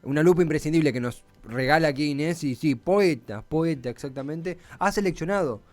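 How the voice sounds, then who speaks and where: An Argentine man hosting a radio show informally, with florid, halting phrasing and roundabout introductions. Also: fairly high pitch. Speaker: radio host.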